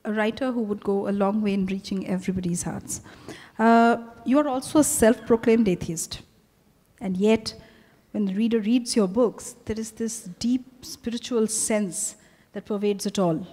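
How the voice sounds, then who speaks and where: A woman with an Indian accent, interviewing formally on stage in a measured, articulate way. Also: medium pitch, mellow. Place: large hotel ballroom stage. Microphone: handheld microphone. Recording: echoey hall PA with long reverb.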